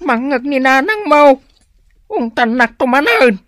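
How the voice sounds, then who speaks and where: A woman playing a stubborn, money-minded elderly father with an Ilocano accent in a comedic radio drama, full of drawn-out sighs and exclamations. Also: high voice, animated, fairly bright tone, dry recording, clean studio sound. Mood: sneaky.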